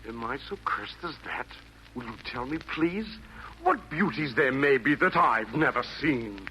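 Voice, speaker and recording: A man's voice: medium pitch, expressive, a little slow, bright, forceful tone. An actor playing a vain, scheming male villain from hell, delivering pompous, florid rhymed verse in theatrical 1940s radio style. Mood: subdued. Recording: noisy vintage 1940s radio broadcast.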